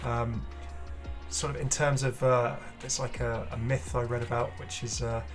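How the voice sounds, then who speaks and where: A man hosting a casual game showcase, talking in an enthusiastic, rambling way with halting explanations. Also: medium pitch, level tone. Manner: enthusiastic, effusive. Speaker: gaming video creator and host. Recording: music playing behind the voice. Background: clear background music.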